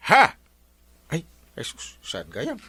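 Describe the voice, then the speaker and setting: An actor playing an excitable, comic village captain in an Ilocano radio drama, exclaiming and stammering with an Ilocano accent. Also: male voice, fairly high pitch, takes it slowly, exaggerated voice. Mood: flustered. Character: excitable, easygoing village captain, a married man. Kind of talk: comedy radio drama acting.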